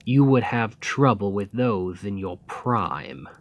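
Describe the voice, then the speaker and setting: A scheming, seductive demon king, played by a man speaks slowly and in a low voice, his tone rising and falling with lively swings. He often drops into a close, intimate whisper, as in an ASMR roleplay. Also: highs cut off. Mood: gloating. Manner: slow, deliberate, often whispered.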